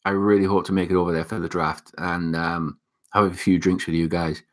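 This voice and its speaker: Young male host, Northeast England accent, lowish pitch, loose rambling delivery full of ums. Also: flat delivery, brisk. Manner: rambling, many fillers and restarts. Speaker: livestream host reading chat. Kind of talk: casual live chat.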